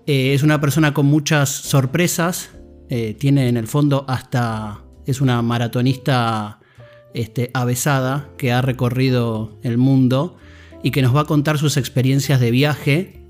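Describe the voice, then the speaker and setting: A man's voice: medium pitch, fairly even intonation, faint music behind. Argentine man hosting a chatty podcast. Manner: friendly, rambling, halting with fillers. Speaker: podcast host. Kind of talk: casual interview chat.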